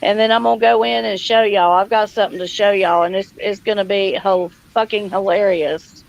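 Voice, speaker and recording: A woman's voice: medium pitch, fairly even intonation, a little fast, creaky, muffled recording. A woman hosting a casual livestream, chatty, folksy and welcoming. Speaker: livestream host.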